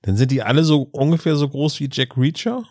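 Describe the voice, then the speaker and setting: A man's voice: medium pitch, a little fast, dark tone, no room sound, clean studio sound. A man co-hosting a podcast, joking in casual chat. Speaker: podcast host. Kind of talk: casual chat about an audio drama.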